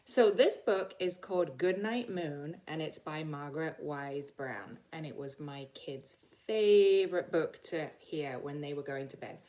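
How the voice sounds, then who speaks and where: A woman's voice: low voice, measured pace, hardly any pauses, warm tone. A middle-aged woman reading a picture book aloud to children, talking simply and directly to her young listeners. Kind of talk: read-aloud storytime for children.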